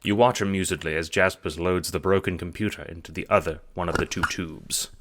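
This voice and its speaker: A man narrating an audiobook-style drama in the second person with an expressive storytelling delivery. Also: low-pitched, brisk, very noisy.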